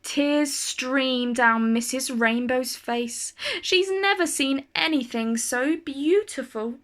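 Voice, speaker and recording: A woman reading a children's picture book aloud as a storyteller, with deliberate phrasing and dramatic emphasis. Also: sits high, fairly bright tone.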